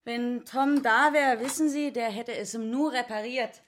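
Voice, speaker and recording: A woman's voice: a little high, steady tone, unhurried, slight background noise, lightly acted. An actress playing a harried, apologetic housewife and mother in a radio play, chatty and flustered.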